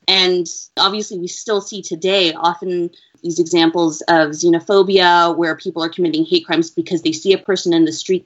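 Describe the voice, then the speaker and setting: A woman researcher answering interview questions in an earnest, measured, explaining way. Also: on the low side. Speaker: education researcher, interview guest. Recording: dull lo-fi audio.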